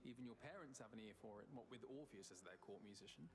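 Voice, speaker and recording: Brief: actor as a fast-talking, proud god teacher. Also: male voice, lowish voice, close to an everyday voice.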